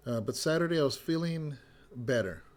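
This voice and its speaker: A middle-aged man, a pastor, slightly hoarse and raspy as he recovers his voice, telling a loose, rambling story full of fillers in a casual devotional update. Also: medium pitch, expressive, moderate pace. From California.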